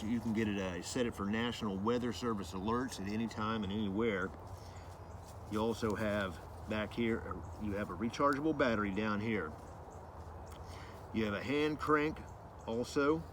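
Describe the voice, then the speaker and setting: A man's voice: fairly low pitch, noisy background. A man giving a casual hands-on product review, explaining things informally and loosely with some repetition.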